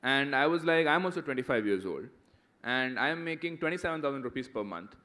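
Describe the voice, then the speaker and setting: A man's voice: mid-range voice, lively intonation, brisk, pushed and bright. A man around thirty, a guest speaker answering student questions in a conversational way, often tagging "right?". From India. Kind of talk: motivational talk and Q&A.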